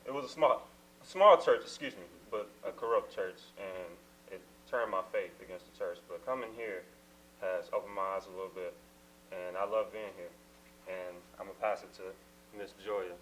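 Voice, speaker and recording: A young man from Minnesota, co-hosting a casual church talk show, soft-spoken and trailing off quietly. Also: low-pitched, normal speed, a little hiss or hum, poor audio. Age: young adult. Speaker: co-host.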